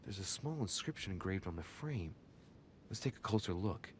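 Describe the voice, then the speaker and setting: A low-voiced, inquisitive young investigator. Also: male voice, animated, moderate pace, a little hiss or hum. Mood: musing, curious. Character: young man investigating his mother's secret, musing and inquisitive. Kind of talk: dramatic game narration, thinking aloud.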